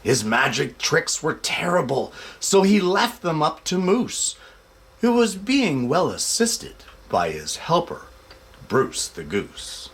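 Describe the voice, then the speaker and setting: A man hosting a show for families and reading a children's picture book aloud in an expressive, measured way. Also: fairly high pitch. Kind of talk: casual introduction, then expressive storybook read-aloud.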